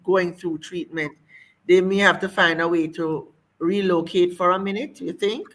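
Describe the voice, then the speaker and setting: A woman's voice: lowish voice, fairly even intonation, moderate pace. A woman host, interviewing a guest in a hesitant, circling way with many fillers.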